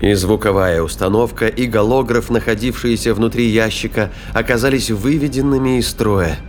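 A man's voice: fairly low pitch, moderate pace, slight background noise. A man narrating a sci-fi thriller audio drama in a scripted, dramatic storytelling style.

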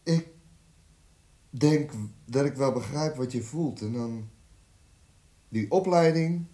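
An actor playing a condescending South African police interrogator in a radio drama, prodding with short, sardonic questions. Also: male voice, mid-range voice, slow pace, warm tone. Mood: condescending, probing.

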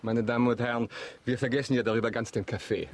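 An actor in a German radio drama playing a suave, insinuating count who is politely and deliberately accusing. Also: male voice, mid-range voice, fairly even intonation, lightly acted. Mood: smoothly polite. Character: suave, insinuating male count hosting guests, coolly accusing.

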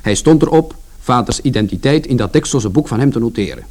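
A man's voice: mid-range voice, animated, a little fast, darkish tone, close to an everyday voice. An actor playing a plain-spoken working-class son who tells his story in a colloquial, confiding first-person radio drama narration. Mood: wry recounting.

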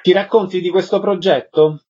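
Higher-voiced husky male radio host. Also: steady tone, moderate pace, darkish tone. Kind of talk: radio interview questions. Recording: slightly dull radio sound.

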